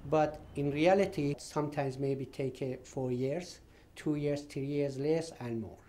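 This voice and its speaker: Halting man with a mellow voice. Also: on the high side, a little hiss or hum. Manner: halting, many fillers. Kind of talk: casual explanation.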